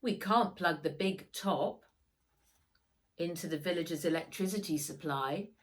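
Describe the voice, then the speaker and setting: A woman's voice: lowish voice, unhurried, close to an everyday voice. A woman voicing a reasonable, apologetic father in a children's storybook, patiently explaining.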